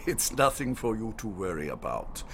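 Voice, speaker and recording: An actor playing an unruffled, courteous, reassuring archaeologist in a radio drama. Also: male voice, on the low side, big pitch swings, normal speed, a little hiss or hum. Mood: wry calm. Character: unruffled, courteous male archaeologist leading rescue party.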